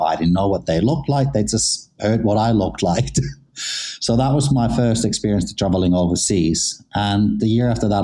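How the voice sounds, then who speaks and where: Deep, mellow middle-aged man. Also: poor audio. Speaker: interviewed athlete, former figure skater. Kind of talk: casual interview storytelling.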